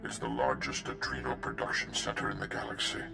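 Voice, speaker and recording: A grave, encouraging Jedi master from a video game. The voice sits extremely low and rumbles with heavy gravel. It moves expressively in a roomy echo. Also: male voice, very animated, a little fast, full character voice. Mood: grave concern. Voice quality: very deep, gravelly. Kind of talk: scripted video game cutscene acting. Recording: roomy echo under loud music.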